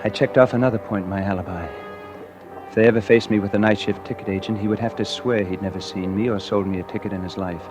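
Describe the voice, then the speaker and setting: Actor as low-voiced, calculating radio thriller murderer. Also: male voice, fairly even intonation, fast talker, natural voice. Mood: confiding, smug. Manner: confiding, suspenseful, hard-boiled. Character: cold, calculating murderer narrating his own crime, nervy and smug. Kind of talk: 1940s radio thriller acting, first-person narration. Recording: vintage radio recording with dramatic music.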